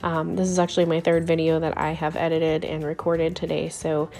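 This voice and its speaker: A woman hosting a scrapbooking video, talking casually over her crafting with a hoarse voice that is giving out from illness and lots of ums and little laughs. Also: low-pitched, monotone, fairly quick, darkish tone, soft background music. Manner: rambling, chatty, frequent ums and little laughs.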